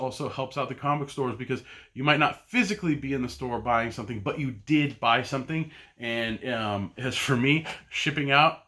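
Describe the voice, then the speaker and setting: A middle-aged man chatting casually on camera with a bright, forward tone. He rambles, stalling on ums and uhs and restarting his sentences often. His pitch sits in an ordinary middle range for a man. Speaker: podcast host and comic buyer. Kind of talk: casual solo vlog chat.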